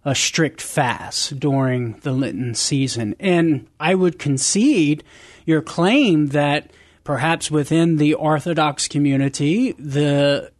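A man's voice: on the high side, takes it slowly, dry recording, studio quality. A man with stuffy sinuses, a guest author on a radio show, talking in a friendly way and calling people brother. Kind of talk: radio discussion.

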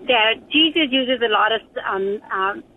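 Lady caller on radio show, earnest, kinda halting, highish voice, tinny phone sound. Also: lively intonation, a little hiss or hum. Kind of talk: casual phone-in talk. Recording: thin, band-limited phone line.

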